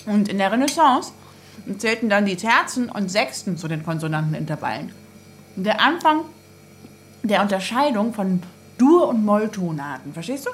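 Actress as earnest explaining woman, animated medium-pitched voice, radio drama, faint background noise. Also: normal speed, close to an everyday voice. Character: earnest woman eagerly explaining music theory. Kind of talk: radio play dialogue.

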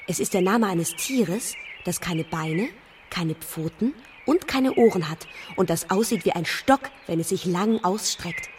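An actress playing a naive, pert young princess in a children's radio play. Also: female voice, lowish voice, animated, a little slow, darkish tone, recorded from a distance, slight background noise. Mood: instructive.

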